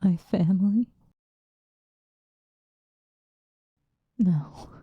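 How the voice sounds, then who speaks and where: A woman playing a centuries-old female vampire, possessive and vengeful, in a soft, breathy, intense ASMR roleplay. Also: mid-range voice, no echo, muffled recording. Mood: shocked, grieving. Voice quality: soft, breathy, often whispered. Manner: intense, dramatic, slow with long pauses.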